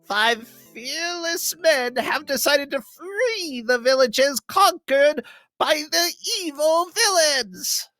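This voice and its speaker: Storybook legend narrator woman acting, grand and theatrical, high-pitched, slow read over soft music. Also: lively intonation, no echo, exaggerated voice, poor audio. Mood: grandly dramatic. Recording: faint music behind.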